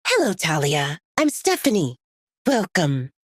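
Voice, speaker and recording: A woman's voice: lowish voice, wildly swooping pitch, measured pace, no echo, clean studio sound, exaggerated voice. A woman voicing a friendly, informal female caretaker in an audio drama, hospitable and easygoing. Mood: welcoming.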